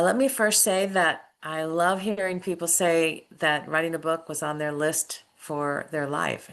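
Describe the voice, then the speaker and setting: A woman's voice: low voice, gravelly, a bit bright. A middle-aged woman, an author and former school principal, telling her story earnestly in a casual interview. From United States.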